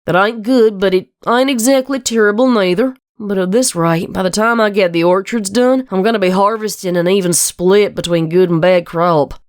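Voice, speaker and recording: A very dry, close recording of a woman playing an earnest country mare in a dramatic story. She has a Southern American accent and a mid-range voice. Her delivery is measured and plain-spoken, like someone carrying the family's worries. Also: mid-range voice, no room sound, natural voice. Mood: matter-of-fact, concerned. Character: earnest, burdened adult farm mare, elder sister. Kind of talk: dramatic fan fiction reading.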